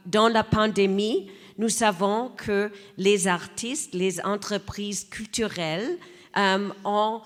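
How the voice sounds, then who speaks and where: A woman speaking as an election candidate in a debate, measured, with many hesitations. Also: medium pitch, fairly bright tone. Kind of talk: debate statement. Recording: reverberant hall.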